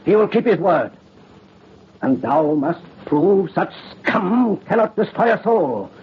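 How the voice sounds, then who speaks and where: Resolute condemned nobleman and father, very husky, expressive declamation, old radio melodrama, dull muffled sound. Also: sits high, slow, exaggerated voice. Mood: solemn urging. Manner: grave, declamatory, archaic theatrical speech. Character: proud, doomed aristocrat father, solemn and resolute. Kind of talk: old-time radio horror drama acting.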